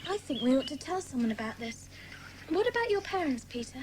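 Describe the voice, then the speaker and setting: Cheeky, excitable schoolgirl played by a woman for a children's audio adventure; high, very lively delivery. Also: brisk, noisy background. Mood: chatty. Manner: eager, exclamatory. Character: excitable, cheeky young girl in a children's detective club. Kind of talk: dramatised children's audiobook acting.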